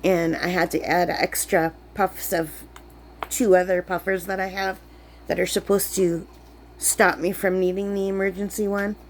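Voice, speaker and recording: A sick woman, chatting in a rambling way while crafting, her voice lower than usual. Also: level tone, unhurried, a little hiss or hum. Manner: chatty, rambling, many fillers. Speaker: crafting vlogger. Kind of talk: casual chat while crafting. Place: camp cabin indoors.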